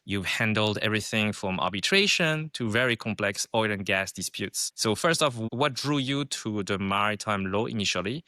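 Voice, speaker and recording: A man's voice: lowish voice, lively intonation, moderate pace, hardly any pauses, sharp tone, no room sound, clean studio sound. A man hosting a podcast, asking polite, structured interview questions. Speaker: podcast host.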